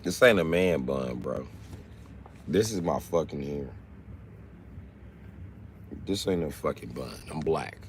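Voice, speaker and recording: A man's voice: extremely low pitch, lively intonation, takes it slowly, long pauses, a little hiss or hum. A man in his late thirties chatting casually on a livestream, brash and blunt, with slangy, profane talk. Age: late 30s. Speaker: live streamer. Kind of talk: casual profane livestream chat answering commenters.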